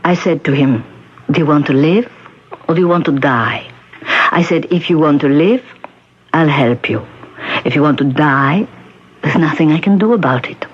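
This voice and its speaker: Blunt, weary survivor woman played by an actress, low and unhurried, old muffled radio drama sound. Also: close to an everyday voice. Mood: weary, guarded. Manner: blunt, terse. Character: plainspoken, world-weary woman, a concentration camp survivor. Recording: dull, noisy old radio recording.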